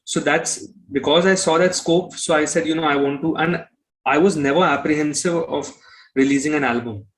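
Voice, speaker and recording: A man's voice: a little high, normal speed, low-quality recording. A man giving conversational interview answers as a musician, explaining and rephrasing as he goes.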